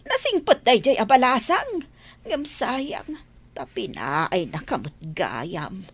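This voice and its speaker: A woman's voice: fairly high pitch, huge pitch swings, takes it slowly, husky, exaggerated voice. An actress playing a nagging, talkative middle-aged village mother in a comedy radio play. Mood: fussing. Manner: nagging, chatty, given to exclamations.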